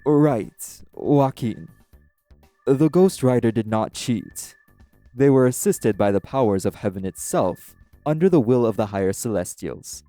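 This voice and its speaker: A man's voice: mid-range voice, lively intonation, mellow, no room sound, soft background music, natural voice. A man playing a polite, earnest guardian angel who speaks formally and diplomatically in an audio roleplay. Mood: composed, formal. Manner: formal, courteous, measured explaining.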